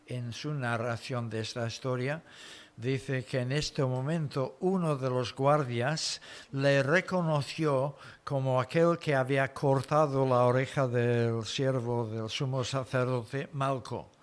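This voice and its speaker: A man preaching an expository sermon in foreign-accented Spanish, explaining the story deliberately in short phrases. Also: medium pitch, steady tone.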